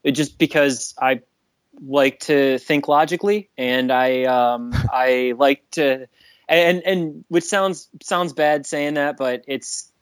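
A man's voice: medium pitch, steady tone, slow pace, clean tone, no room sound. A man calling in to a talk show, speaking hesitantly and restarting often. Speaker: caller. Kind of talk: phone-in conversation.

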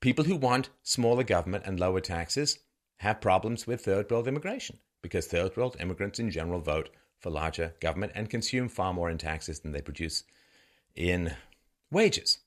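A man's voice: low-pitched, expressive, moderate pace. A man from Ireland and England delivering an opinion monologue in a sarcastic, rhetorical manner with theatrical emphasis. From born in Ireland, grew up in England. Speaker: host of a political commentary show.

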